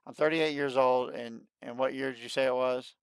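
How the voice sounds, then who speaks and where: Excitable man in his thirties, smooth voice. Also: medium pitch, moderate pace, no echo, poor audio. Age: 30s. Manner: excitable, repeats himself. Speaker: livestream host. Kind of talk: casual chat.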